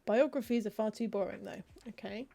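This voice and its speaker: A woman talks through a cozy game in a relaxed, conversational way, often murmuring half to herself as she scans lists. Her voice is mellow and warm rather than bright, sitting at an ordinary middle pitch, and she adds dry little asides. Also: brisk, creaky. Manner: chatty, wry, muttering lists of titles to herself. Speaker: streamer playing a cozy game. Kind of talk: casual gameplay commentary, reading game text aloud.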